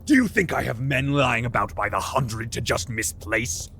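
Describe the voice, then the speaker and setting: A man playing an irascible, blustering king in a fantasy audio drama, gruff, emphatic and imperious. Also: mid-range voice, lively intonation, moderate pace, sharp tone, slight background noise. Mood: indignant.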